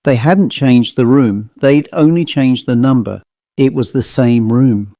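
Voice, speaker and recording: A man's voice: mid-range voice, measured pace, dark tone, no room sound, lightly acted. A man voicing a plain-spoken travelling salesman telling a grim storybook tale in a dry, colloquial, conversational manner. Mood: matter-of-fact. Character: haunted male commercial traveller, plain-spoken teller of grim tales. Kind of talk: dramatised storybook monologue.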